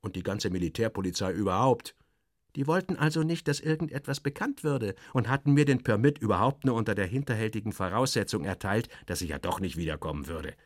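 A man's voice: fairly low pitch, animated, quick pace, no room sound, studio quality. A man performing dramatic first-person literary narration in character, wry and theatrical, in clipped phrases.

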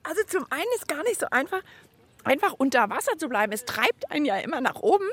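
A woman hosting a children's radio show, chatting in a playful, expressive way. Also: sits high, fast talker. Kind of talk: lively children's show chat.

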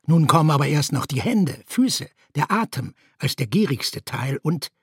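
A man giving a measured, formal reading of a scripted literary text. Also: a little high, big pitch swings, breathy edge, no echo, studio quality. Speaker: reader in a literary audio piece.

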